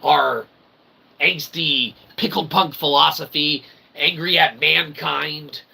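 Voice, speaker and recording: A man's voice: on the high side, fairly even intonation, slow pace, pushed and bright. A man hosting a live stream, rambling through a comic mock-sermon in wordy, pseudo-formal phrases with folksy check-ins. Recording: clearly noisy background.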